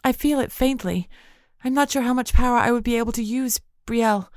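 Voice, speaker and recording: A woman's voice: on the high side, fairly quick, a little mellow, no echo, studio quality, voice pushed up, lightly acted. A woman voicing a female spirit living inside the heroine, earnest and urgent. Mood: worried.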